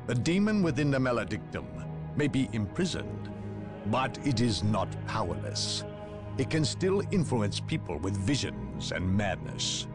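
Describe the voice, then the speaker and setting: Cold secretive inquisitor, measured and preachy, lots of swing in his voice, game music under it. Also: medium pitch. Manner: measured, guarded, coldly lecturing. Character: cold secretive male inquisitor, middle-aged, unyielding. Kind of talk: video game cutscene voice acting. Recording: voice over orchestral game score.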